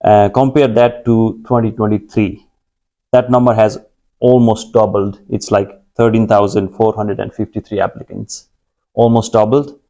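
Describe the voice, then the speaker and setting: A man's voice: lowish voice, level tone, moderate pace, warm tone. A man from Nepal in his thirties, a guest speaking in a conversational interview, hesitant with many fillers and restarts.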